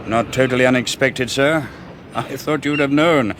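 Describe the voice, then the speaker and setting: An actor playing a polite, deferential ship's captain who speaks in a courteous, matter-of-fact way, in a 1980s radio drama. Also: male voice, medium pitch, normal speed, noisy background, close to an everyday voice.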